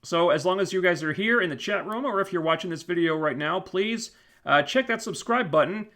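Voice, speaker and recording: A man's voice: high voice, a little fast, sharp tone. A man hosting a live stream, losing his voice and rambling with many fillers and restarts as he thanks viewers. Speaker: YouTube channel host. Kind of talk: casual live stream wrap-up.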